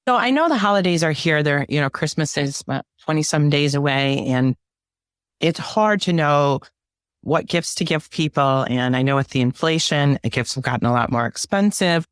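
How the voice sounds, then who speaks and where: A woman's voice: low voice, moderate pace, clean tone, no echo, clean studio sound. A woman hosting a personal finance podcast, chatting with a guest in a friendly, conversational way. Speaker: podcast host. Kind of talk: podcast interview.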